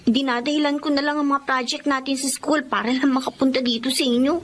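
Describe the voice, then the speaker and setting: High-voiced Filipino teenage girl. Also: fairly even intonation, fast talker, fairly bright tone, slight background noise. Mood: breezy. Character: carefree, headstrong teenage schoolgirl, policeman's daughter. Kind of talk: Filipino radio drama acting.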